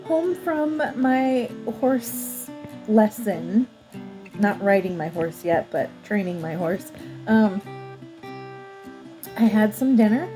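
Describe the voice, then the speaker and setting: A woman's voice: mid-range voice, expressive, takes it slowly, pauses often, music in the background. A woman book vlogger chatting casually and informally to the camera, thinking aloud as she goes. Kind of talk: casual vlog chat to camera.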